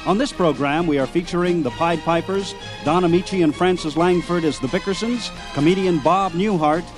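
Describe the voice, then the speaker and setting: Music plays behind a male radio host. He has a slightly high voice and delivers scripted introductions for a nostalgic radio program. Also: rough recording. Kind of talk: scripted introduction of old-time radio clips.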